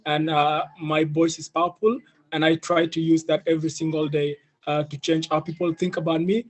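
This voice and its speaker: A man's voice: fairly high pitch, little pitch movement, moderate pace. A young man with an East African accent giving an earnest personal presentation on an online panel, often adding "you know". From Ethiopia, of South Sudanese family. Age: young adult. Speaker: youth leader, panel speaker. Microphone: video call.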